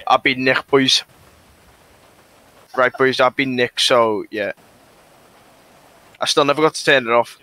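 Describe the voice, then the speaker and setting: A mouthy British suspect in a police roleplay game , voiced by a man. His voice is bright and forward, and he talks in blunt, mocking slang, needling whoever has him in custody. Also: mid-range voice, fairly even intonation, measured pace, long pauses, dry recording. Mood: insistent. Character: cheeky, mouthy young male suspect under arrest. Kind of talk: casual online police roleplay improvisation.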